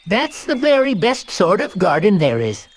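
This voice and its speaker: Bossy, prissy storybook rabbit: highly animated pitch, irritable, insistent. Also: male voice, moderate pace, slight background noise. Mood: self-assured. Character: fussy, bossy, irritable male rabbit gardener. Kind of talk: children's storybook read-aloud.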